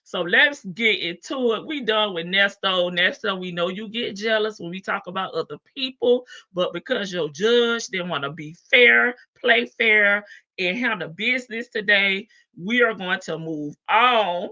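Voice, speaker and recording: Female livestream host, unhurried, playful gossip delivery, frequent laughs. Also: medium pitch, sharp tone, dull audio. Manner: chatty and playful, laughs often, greets viewers by name. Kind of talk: casual gossip commentary on a court case.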